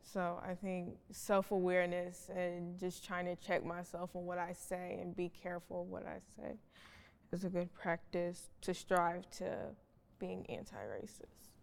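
A woman's voice: on the low side, steady tone, measured pace, vocal fry. A young woman giving a thoughtful, hesitant answer as a student panelist, piecing her thoughts together as she goes. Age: young adult. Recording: echoey hall reverb.

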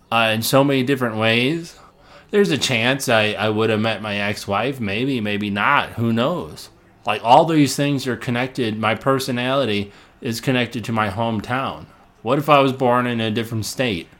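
A man's voice: medium pitch, measured pace, a bit bright. Solo podcast host, middle-aged man from Illinois, rambling, self-interrupting, laughs between phrases. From Illinois, United States. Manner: rambling, many restarts and repeated words, thinking aloud. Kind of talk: casual solo podcast monologue.

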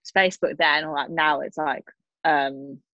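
A woman's voice: low-pitched, steady tone, moderate pace, sharp tone, no echo. A woman in her thirties with a Northern English accent, co-hosting a casual chat with a friend and teasing her with frequent laughs. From Northern England. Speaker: podcast co-host. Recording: dull, dry lo-fi sound.

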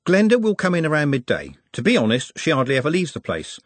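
A man playing a cocky young lad in a storybook, breezy and slangy, his pitch bouncing around a lot. The voice is husky and breathy and close to the mic. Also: huge pitch swings, quick pace. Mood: cheeky. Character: cheeky young male city lad, cocky and friendly.

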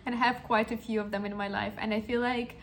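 Young woman podcaster, kinda even tone with lots of fry, earnest pep-talk storytelling. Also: a little high, fast. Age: young adult. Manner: earnest, reflective, motivational. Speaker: podcast host. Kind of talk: personal storytelling monologue.

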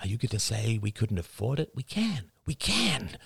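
A man's voice: lowish voice, very animated, moderate pace, no echo, studio quality. A man playing an intense, insistent husband and father in dramatic audiobook dialogue. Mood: pleading.

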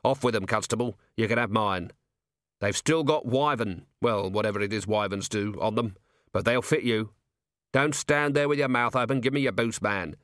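A man voicing a gruff, sardonic, middle-aged police commander in an audiobook, with a clipped, commanding manner. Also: lowish voice, normal speed, fairly bright tone, no room sound, clean studio sound, lightly acted. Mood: mock cheerful. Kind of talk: audiobook character acting.

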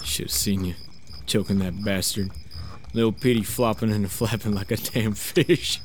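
An actor playing a plain-spoken, protective 1890s farmer in a film drama, blunt, folksy and sardonic. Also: male voice, fairly low pitch, steady tone, measured pace, a little hiss or hum, natural voice. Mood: teasing. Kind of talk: dramatic acting for an animated storyboard film.

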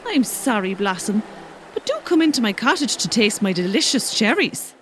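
A woman's voice: mid-range voice, big pitch swings, moderate pace, a little hiss or hum, close to an everyday voice. A woman playing a doting, sweetly coaxing old cottage woman in a fairy-tale audio drama.

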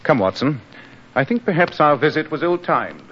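An actor playing a brisk, self-assured British gentleman detective, clipped and authoritative, in 1940s radio style. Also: on the high side, big pitch swings, husky, exaggerated voice. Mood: wry, confident. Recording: dull 1940s radio recording.

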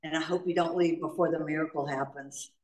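A woman tells her story to a room full of people, and her voice sits somewhat low for a woman. She jokes as she goes, a little away from the microphone, and the church hall echoes around her. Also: fairly even intonation, fairly quick, a little mellow, distant mic. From North Carolina. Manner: humorous storytelling, laughs often. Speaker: AA meeting speaker. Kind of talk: personal recovery story. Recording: echoey hall, speaker off-mic.

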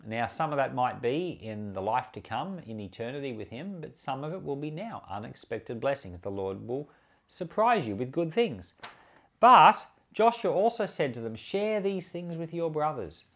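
Man preaching with lively, swooping pitch. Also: moderate pace. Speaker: pastor and podcast host. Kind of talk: scripture reading and informal sermon commentary.

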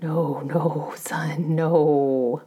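Loud-voiced woman chatting playfully. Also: sits low, fairly even intonation, very slow, breathy edge. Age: adult. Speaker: podcast co-host. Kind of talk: casual chat.

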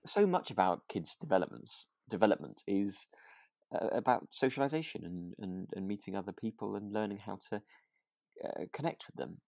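Male podcast co-host, low register, casual and hesitant delivery, very dry recording. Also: lively intonation, normal speed, creaky, darkish tone, no room sound. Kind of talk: casual chat reviewing a radio drama.

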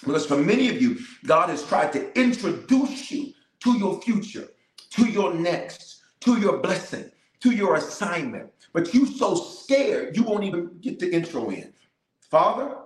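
Fervent preaching bishop in an echoey room. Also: male voice, on the high side. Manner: fervent, repetitive declarations, calls to the audience. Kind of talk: livestreamed prayer and preaching. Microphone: cameras in front of him.

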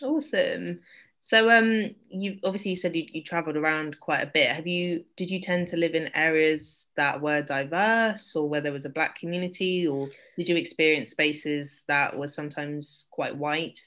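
A woman hosting an interview, asking relaxed, encouraging questions after a scripted introduction. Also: low-pitched, normal speed. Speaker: podcast host.

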